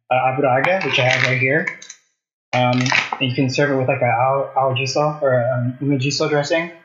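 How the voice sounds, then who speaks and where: A man in his thirties talks in a relaxed, conversational way, like a host walking viewers through a recipe. His voice sits at an ordinary pitch. The sound is noticeably roomy and a little dull, as in a home kitchen. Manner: conversational, asks viewers questions while working. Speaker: cooking show host. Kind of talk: casual live cooking demonstration, chatting to viewers.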